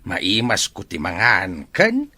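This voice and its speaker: A man's voice: deep voice, huge pitch swings, slow pace, pushed and bright, no echo, full character voice. A man with an Ilocano Filipino accent playing a jovial old grandfather who loves his drink, in an audio drama.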